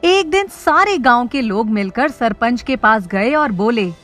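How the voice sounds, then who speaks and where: A woman's voice: on the high side, expressive, measured pace, fairly bright tone, faint music behind. A woman reading a scripted children's story as its narrator.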